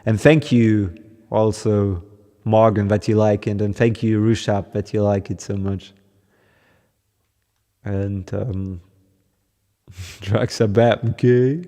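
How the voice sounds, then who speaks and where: Man, German accent, low voice, long reverb, unhurried, chuckly, halting livestream chat. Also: mellow. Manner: chuckling often, halting with many fillers. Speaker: musician hosting a livestream. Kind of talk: casual chat with stream viewers.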